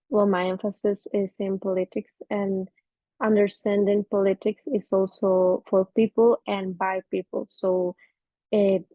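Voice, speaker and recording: A woman from Costa Rica introducing herself on a video call, hesitant and pausing often with many fillers. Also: mid-range voice, dark tone, dry recording. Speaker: participant. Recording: dry, slightly dull call audio.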